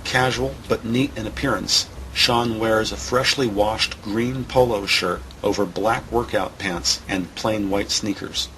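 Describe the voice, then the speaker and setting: A man's voice: lowish voice, a little slow, hardly any pauses, fairly bright tone, a little hiss or hum, poor audio. A man reading scripted narration for an audio drama.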